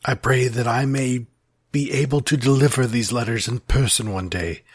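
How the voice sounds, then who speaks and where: A man acting an earnest, lovelorn gentleman of old New York, reading his formal letter in a solemn, wistful, measured way with a husky voice. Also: mid-range voice, no echo, clean studio sound, close to an everyday voice. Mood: tender, solemn.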